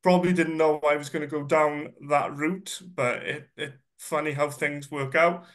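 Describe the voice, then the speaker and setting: A man's voice: a little high, fairly even intonation, moderate pace, fairly bright tone. A man gives a chatty, self-deprecating personal presentation, sounding a bit nasal from a cold and using many ums and uhs. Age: 30s. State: congested, slightly nasal from a cold. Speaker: guest speaker.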